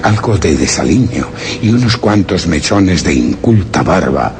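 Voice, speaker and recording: A man's voice: low voice, animated, unhurried, darkish tone, a little hiss or hum. An actor playing a solemn, wondering explorer who narrates his journal in a radio drama, grave and theatrical. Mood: deliberate, scheming. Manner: grave, literary, theatrical, with rhetorical questions. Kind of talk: Spanish radio drama suspense monologue.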